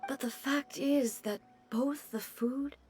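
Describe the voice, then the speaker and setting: A woman voicing a reserved, unworldly divine ruler in a video game, speaking in a measured, formal way. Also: sits high, darkish tone, faint music behind. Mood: composed.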